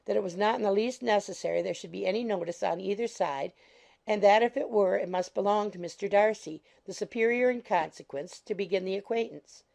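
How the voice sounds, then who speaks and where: A woman's voice: medium pitch, brisk, vocal fry, a little mellow. A woman reading scripted narration of a classic novel as an audiobook narrator.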